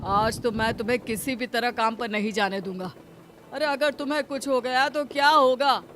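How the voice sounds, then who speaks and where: A woman voicing a caring, brave village husband in an animated moral story, earnest and protective. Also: a little high, normal speed, background noise, lightly acted. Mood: concerned.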